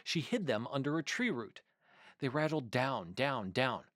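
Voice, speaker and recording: A man reads a children's story aloud in a mid-pitched voice. The delivery is expressive and deliberate, with scripted storybook phrasing. The sound is dry and close. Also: no room sound.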